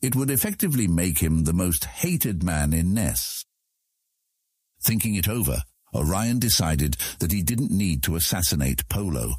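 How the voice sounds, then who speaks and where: A man with a husky voice reading scripted fantasy narration as an audiobook narrator. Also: low voice, big pitch swings, normal speed, a little mellow, no echo, clean studio sound.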